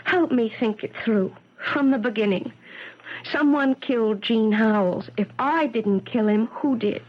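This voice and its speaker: An actress playing an earnest, determined professor in a 1970s radio drama, urgent and articulate. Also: female voice, a little high, a little slow. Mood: pleading, insistent. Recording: muffled 1970s radio broadcast.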